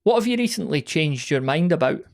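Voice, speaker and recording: A man's voice: on the high side, big pitch swings, brisk, vocal fry. A man reading out prepared questions as a podcast interviewer.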